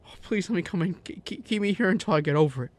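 A man's voice: high-pitched, animated, quick pace. An actor in a radio drama playing a distraught everyman husband and son, stammering and pleading urgently. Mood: frantic pleading.

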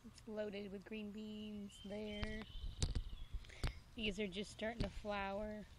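A woman's voice: mid-range voice, level tone, takes it slowly. A woman in her thirties giving a casual garden tour, talking into a handheld phone outdoors. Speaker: gardening vlogger. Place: outdoors in a backyard vegetable garden. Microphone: handheld phone at arm's length. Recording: noisy outdoor phone recording.

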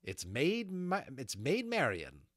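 Storybook role: fierce, wounded peasant woman of middle age, high-pitched and scolding, played by a male reader. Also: wildly swooping pitch, slow, a bit bright, dry recording, studio quality, exaggerated voice. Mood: mocking. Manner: scolding, anguished outbursts.

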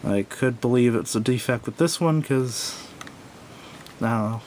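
Guy doing an off the cuff gadget review, kinda rambly and unsure, midrange voice, faint rumbly noise behind him. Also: unhurried, darkish tone. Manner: hesitant, rambling, self-correcting. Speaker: product reviewer. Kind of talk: casual unscripted hands-on gadget review. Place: indoors at a wooden table. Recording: noisy home recording with low rumble.

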